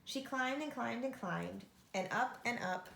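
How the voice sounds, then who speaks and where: A woman reading a storybook aloud to children with expressive narration. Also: on the high side, measured pace, gravelly, slight background noise. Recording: echoey room.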